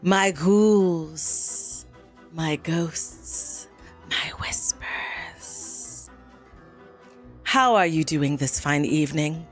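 A woman livestreaming as a theatrical, witchy horror hostess, with dramatic, drawn-out, formal phrasing and a coy temper. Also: sits low. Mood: dramatic, relishing. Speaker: game streamer. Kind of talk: livestream chat in a put-on horror persona. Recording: music behind the voice.

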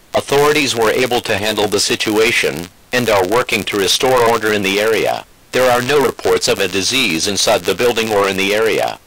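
A man's voice: fairly low pitch, sharp tone, dry recording, low-quality recording. A synthetic-sounding man reading a scripted emergency alert bulletin in a formal, impersonal way. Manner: formal, impersonal, synthetic text-to-speech delivery.

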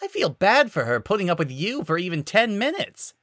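Casting: man as biting female cat mercenary, raised pitch, sarcastic and aggressive, fan fiction drama. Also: big pitch swings, fairly quick, fairly bright tone, no room sound, studio quality. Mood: haughty, cruel. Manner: biting, scornful.

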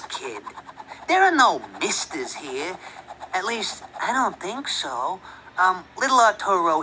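A man's voice: high voice, bright, forceful tone, slight background noise, full character voice, low-quality recording. A man voicing a sly, sneering teenage gang leader in a cartoon, taunting in a drawl. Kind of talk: cartoon voice acting.

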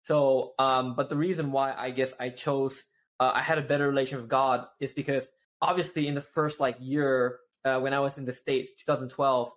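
Animated young man on a video call. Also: mid-range voice, normal speed. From Malaysia. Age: 20s. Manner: animated, gestures with both hands, many "right?" tags. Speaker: guest. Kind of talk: casual interview chat. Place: home office with shelves.

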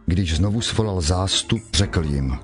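A man with a deep voice narrates a scripture text. His delivery is measured and solemn, clearly scripted, with music playing behind him. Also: animated.

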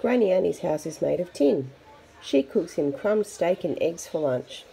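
A woman reads a picture book aloud for young children, expressive and chatty with her listeners. Her voice is mellow, with noticeable creak at its edges. It is a simple home recording with faint background noise. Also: on the low side, a little slow, rough recording. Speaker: storybook reader for children.

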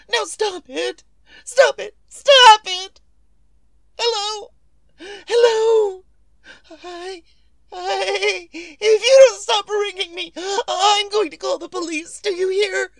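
An actress playing a nervous, imperious, querulous wife in 1940s radio suspense style, melodramatic and demanding. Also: female voice, very high voice, no room sound, clean studio sound, exaggerated voice. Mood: frantic, shrill. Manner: melodramatic, demanding, drawn-out delivery.

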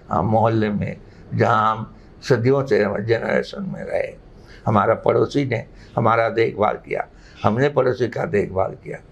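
A man, explaining things haltingly but emphatically as an interview guest. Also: lowish voice, gravelly. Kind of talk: unscripted interview answers. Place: living room indoors. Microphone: lapel mic. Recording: slightly muffled sound.

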